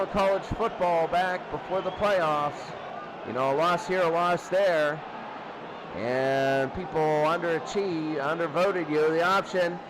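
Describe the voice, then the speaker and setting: A man doing live play-by-play football commentary as a radio announcer, restating the action in short bursts. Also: high voice. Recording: very noisy, loud stadium crowd bed. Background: crowd roar.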